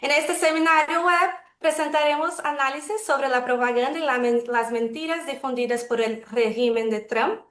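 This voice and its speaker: Brasileña moderando con voz aguda. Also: female voice, high voice, a bit bright, echoey room. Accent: Brazilian Portuguese. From Brazil. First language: Portuguese. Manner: measured, careful, self-correcting. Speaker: webinar moderator. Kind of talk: formal opening remarks. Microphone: video call.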